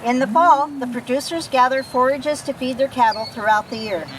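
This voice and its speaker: A woman's voice: high voice, moderate pace. A woman reading a children's picture book aloud expressively, as if to young listeners. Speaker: storybook reader. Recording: noisy recording, distant from the mic.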